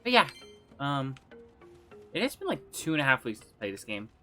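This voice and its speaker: Male streamer, rambling and chatty, bright tense tone, a touch phlegmy; soft game music underneath. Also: mid-range voice, huge pitch swings, moderate pace. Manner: rambling, trailing off and restarting, chatty with viewers. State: slightly phlegmy throat. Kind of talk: casual livestream chat while gaming.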